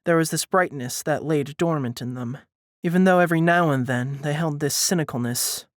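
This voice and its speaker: A man's voice: a little high, moderate pace, dry recording. Male audiobook narrator, very dry sound. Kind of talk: scripted first-person fiction narration.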